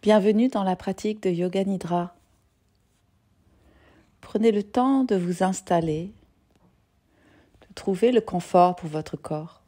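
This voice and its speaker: Very slow, mellow woman guiding meditation. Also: mid-range voice. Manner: gentle, soothing, soft-spoken with long pauses. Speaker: yoga nidra teacher. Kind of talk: guided meditation.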